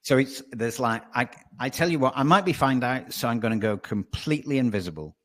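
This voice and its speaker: Animated male voice coach. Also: mid-range voice. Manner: animated, restarts often, slows for emphasis. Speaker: voice and presence coach. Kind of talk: webinar guest explaining.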